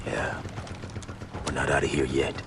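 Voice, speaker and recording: A man's voice: very deep voice, monotone, measured pace. A man playing a young, earnest special operative in a video game, with casual, clipped military talk and a wry edge. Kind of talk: cinematic video game acting. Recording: noisy game action soundtrack.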